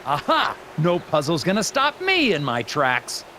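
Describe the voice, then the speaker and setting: A dutiful, polite FBI agent in a video game. His voice sits fairly high and swings in pitch as he speaks formally and earnestly. Faint noise runs behind him. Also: wildly swooping pitch, measured pace, sharp tone, full character voice. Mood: musing, determined. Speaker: game character, investigator. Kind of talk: scripted video game dialogue and narration.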